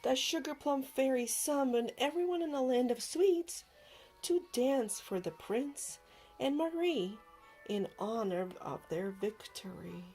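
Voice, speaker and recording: A woman narrating a children's storybook aloud with expressive, dramatic emphasis, over music. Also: sits high, unhurried, husky, low-quality recording. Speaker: storybook reader. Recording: music behind the voice.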